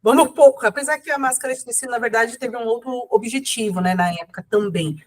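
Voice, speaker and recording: A woman's voice: fairly high pitch, fast. A woman with a cold, speaking casually as an interview guest, rambling with many fillers and self-corrections. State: has a cold.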